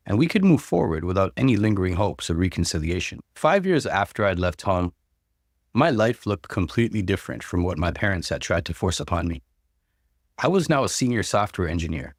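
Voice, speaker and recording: A man hosting a story channel, delivering scripted narration and conversational commentary with expressive emphasis. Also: on the low side, no echo, clean studio sound. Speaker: host reading and commenting on online stories.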